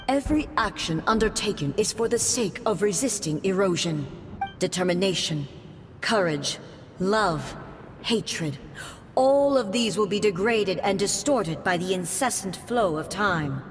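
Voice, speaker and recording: A woman's voice: medium pitch, slight background noise, lightly acted. A woman playing a proud immortal warrior goddess in an anime game, grave, formal and commanding. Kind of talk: dramatic anime game voice acting. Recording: music behind the voice.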